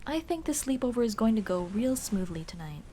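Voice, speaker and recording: A woman's voice: fairly high pitch, very animated, a little fast, warm tone, slight background noise. A young woman playing a shy, teasing tomboy childhood friend in love, in a casual, hesitant and intimate ASMR roleplay. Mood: bashful, affectionate. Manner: playful, bashful, hesitant, casual dude-talk. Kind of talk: ASMR roleplay acting, intimate girlfriend scene.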